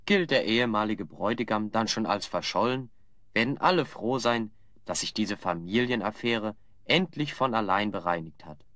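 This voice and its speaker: An actor playing a clever, composed hero in a German audio drama, speaking in a measured, articulate, persuasive way. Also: male voice, fairly low pitch, expressive, a bit bright, dry recording, clean studio sound. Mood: calm, persuasive.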